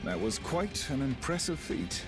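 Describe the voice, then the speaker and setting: An actor playing a commanding, grandiose sorcerer in a video game, speaking in a theatrical, solemn manner. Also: male voice, mid-range voice, big pitch swings, a little slow. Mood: confident. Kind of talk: video game hero acting. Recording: music behind the voice.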